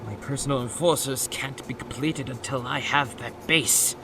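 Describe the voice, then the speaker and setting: A man's voice: mid-range voice, moderate pace, background noise, lightly acted. A man playing an arrogant, scheming villainous scientist in a comic dub, speaking in precise, wordy, pompous diction. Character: arrogant, calculating villainous male doctor scientist, theatrical schemer.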